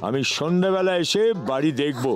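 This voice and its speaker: An actor playing a demanding, no-nonsense male customer who gives brisk instructions in a cartoon dub. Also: on the high side, close to an everyday voice. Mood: businesslike, demanding. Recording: music behind the voice.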